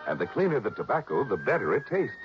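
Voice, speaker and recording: A man's voice: mid-range voice, very animated, fast talker. A man announcing a scripted commercial in a vintage radio advertising style. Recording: dull old radio recording, loud music.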